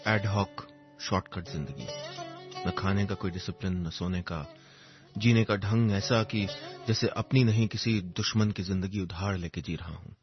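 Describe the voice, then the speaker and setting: A man narrating a scripted first-person story in a measured, reflective way, with short pausing phrases. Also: lowish voice. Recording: dull lo-fi audio under music. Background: instrumental music.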